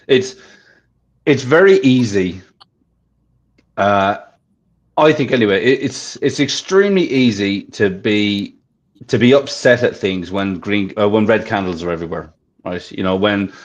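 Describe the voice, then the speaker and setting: A man hosting a casual discussion, chatty, often checking for agreement. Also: on the low side. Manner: chatty, asks for agreement with "right?". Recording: dull lo-fi audio.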